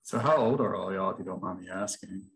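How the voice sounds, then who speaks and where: A man's voice: low voice, fast, gravelly, fairly bright tone. A man interviewing a band, asking questions in an easygoing way with plenty of fillers. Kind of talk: casual interview.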